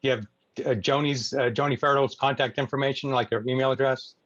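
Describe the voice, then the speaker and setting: A man chairing an informal online club meeting in a halting, hesitant way over muffled video call audio. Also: mid-range voice, fairly even intonation, a bit bright, no room sound.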